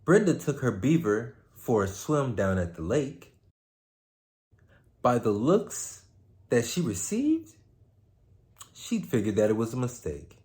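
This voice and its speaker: A man hosting a story time, with a laid-back, slangy manner, moving between casual chat and expressive read-aloud. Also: mid-range voice, slow, pauses often. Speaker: host reading a story aloud.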